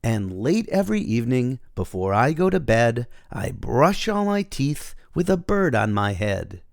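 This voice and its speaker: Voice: mid-range voice, big pitch swings, unhurried, vocal fry, dry recording, clean studio sound. A storybook reader narrating a children's tale in the first person as a cheerful rhino.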